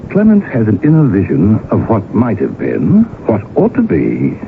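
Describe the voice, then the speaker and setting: An actor playing a wry, tolerant, perceptive friend in a radio drama. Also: male voice, fairly low pitch, huge pitch swings, moderate pace, gravelly, narrow, tinny audio, exaggerated voice. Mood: amused. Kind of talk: 1980s radio drama acting. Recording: noisy band-limited old radio broadcast recording.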